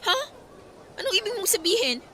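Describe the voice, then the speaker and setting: High, bright-voiced fed-up college girl. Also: very animated, fairly quick, slight background noise, full character voice. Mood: alarmed. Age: young adult. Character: young female college student, fed up with controlling ex. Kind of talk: Tagalog radio drama acting.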